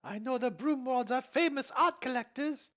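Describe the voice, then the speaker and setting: A man voicing a sharp-tongued young woman in a high put-on falsetto. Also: normal speed.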